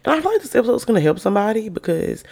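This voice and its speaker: A woman hosting her own podcast in a casual solo chat, her naturally deep voice made even deeper by covid, talking in a chatty, playful, slangy, self-hyping way. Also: very fast, creaky. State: sick with covid, voice extra deep. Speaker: podcast host.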